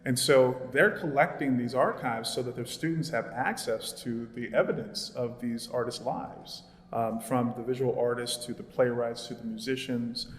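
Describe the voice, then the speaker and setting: A man's voice: medium pitch, fairly even intonation, normal speed, hardly any pauses. Earnest man speaking in an echoey gallery. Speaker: archive curator and panelist. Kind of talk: public panel talk. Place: gallery event space. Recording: echoey gallery room with long reverb.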